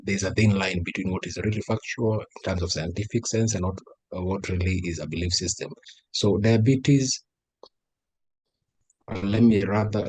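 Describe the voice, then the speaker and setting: A man with a Kenyan accent, an interview guest explaining things in measured, formal phrasing. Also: deep voice, slightly rough, no room sound, rough recording. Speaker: patient advocate guest.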